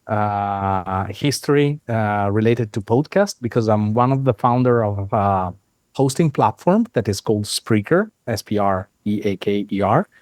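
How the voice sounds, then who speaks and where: A middle-aged man with an Italian accent speaks English as a second language in a lowish voice. He explains things patiently, pausing often on "uh" as he gathers his thoughts. His voice sounds dry and close, with little room around it. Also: no room sound. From Italy. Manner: measured, with frequent "uh" fillers. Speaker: guest, software founder. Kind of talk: conversational explanation.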